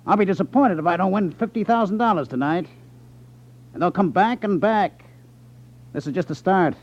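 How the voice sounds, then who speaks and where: An actor in a 1950s radio drama playing a smug, menacing gambling boss who talks in a condescending, sardonic way. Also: male voice, high voice, moderate pace, lightly acted. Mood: scheming, confident. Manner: condescending, sardonic, tough talk. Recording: dull 1950s radio broadcast recording.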